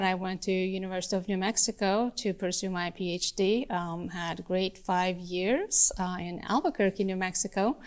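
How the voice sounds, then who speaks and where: A woman in her forties from Turkey, whose first language is Turkish, talking in a relaxed podcast chat as the guest, thoughtful and often laughing. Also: medium pitch, smooth. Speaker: guest, department chair. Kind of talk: casual podcast conversation.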